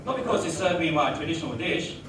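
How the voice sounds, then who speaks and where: A young man doing a stand-up comedy set in a small restaurant bar, delivering deadpan one-liners and laughing at his own lines. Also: on the high side, fast, background noise. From Australia. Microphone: handheld mic, filmed from far back. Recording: echoey room from far off, loud crowd.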